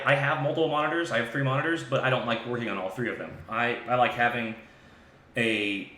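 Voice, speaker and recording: A man hosting a live software tutorial, talking informally and thinking aloud as he demonstrates on screen. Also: medium pitch. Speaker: live stream host and software instructor. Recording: echoey room with faint background noise.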